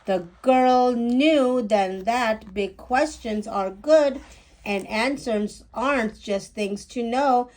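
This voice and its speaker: A woman reading a rhyming children's picture book aloud with deliberate, animated phrasing. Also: on the high side.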